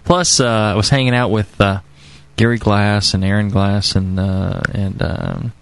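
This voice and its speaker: Gravelly-voiced Californian man, tired chat. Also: on the low side, fairly even intonation, unhurried, fairly bright tone, no echo. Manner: rambling, many fillers and restarts. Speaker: podcast co-host. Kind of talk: casual radio chat.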